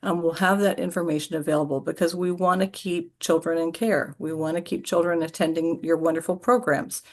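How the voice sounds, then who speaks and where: Low, gravelly woman presenting reassuringly. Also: steady tone, fairly quick. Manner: warm, reassuring, earnest, repeats key points. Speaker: early learning coalition staff presenter. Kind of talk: webinar presentation.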